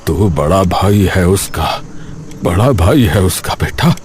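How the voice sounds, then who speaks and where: A man playing a dying old father in an audio story, solemn and pleading, with halting delivery. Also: deep voice, expressive, a little mellow, a little hiss or hum. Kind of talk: Hindi audio story drama.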